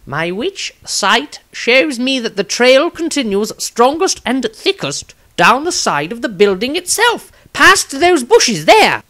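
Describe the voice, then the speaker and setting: A man voicing an anxious, hapless fantasy wizard in an audiobook, with slow, drawn-out speech. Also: very high voice, very animated, pushed and bright, voice pushed up, heavily acted. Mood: earnest, eerie.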